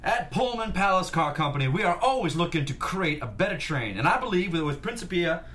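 A man plays a proud, commanding industrialist in a period drama. His voice sits a little high and moves with very animated intonation. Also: brisk. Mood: grand, proud. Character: grand, proud industrialist, commanding railway tycoon. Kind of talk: table read acting, public speech.

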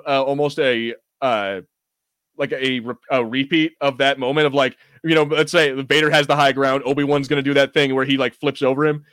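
A man's voice: mid-range voice, a bit bright, no echo. A man hosting a podcast, chatting casually about fandom in an enthusiastic, rambling, jokey way. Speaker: podcast host. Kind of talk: casual fan discussion.

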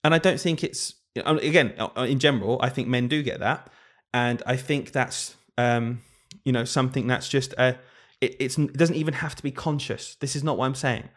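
A man's voice: mid-range voice, normal speed, few pauses. A man, a primary school teacher co-hosting a casual podcast, talking earnestly and thinking aloud.

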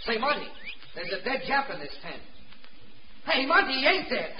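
A man's voice: very high-pitched, very animated. An actor playing a wry, plucky American war correspondent, narrating his experiences in a dramatic 1940s radio style. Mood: excited. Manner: dramatic, measured, wry understatement. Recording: muffled, noisy 1940s radio recording.

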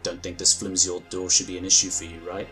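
A man playing a composed, dutiful psychic agent in a sci-fi audio drama, speaking in a formal, earnest, measured way. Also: deep voice, little pitch movement, bright, forceful tone, faint music behind. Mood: wry.

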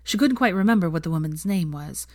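Lowish-voiced woman narrating a story. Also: animated, fast talker, a little mellow, no room sound, clean studio sound. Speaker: audiobook reader of fan fiction.

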